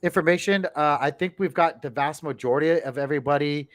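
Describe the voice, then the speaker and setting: A man from Hawaii hosting a casual livestream chat from a home studio on a boom mic, laughing often and repeating himself. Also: fast talker. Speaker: host. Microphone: headset with boom mic.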